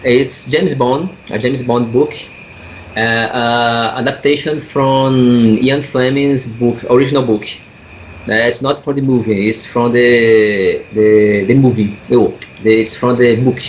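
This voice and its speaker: Brazilian guy talking slow in english, stops a lot to find words, bit of faint hiss behind. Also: mid-range voice, fairly even intonation, rough recording. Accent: Brazilian Portuguese. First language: Portuguese. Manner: halting, searching for words, laughs often. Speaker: comic artist guest. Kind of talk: casual interview over a call.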